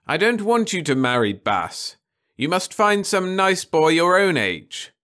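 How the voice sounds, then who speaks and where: A man's voice: high voice, very animated, unhurried, a bit bright, voice pushed up, exaggerated voice. A man voicing a stern, earnest middle-aged mother who speaks firmly and insistently. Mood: urgent. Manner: firm, insistent.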